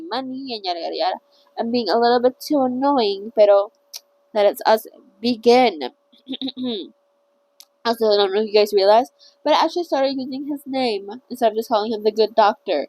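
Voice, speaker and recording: Chatty high-voiced woman reading aloud. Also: darkish tone, rough recording. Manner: chatty, self-correcting over hard words. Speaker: channel host reading a novel aloud. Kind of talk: casual read-along narration.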